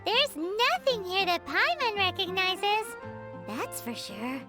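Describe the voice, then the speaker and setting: A woman voicing a tiny, excitable, childlike fairy companion in a video game, with a squeaky voice and perky, exclamatory delivery. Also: slow, loud music behind the voice, exaggerated voice. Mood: excited. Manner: perky, exclamatory, speaks of self in third person. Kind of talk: video game character acting.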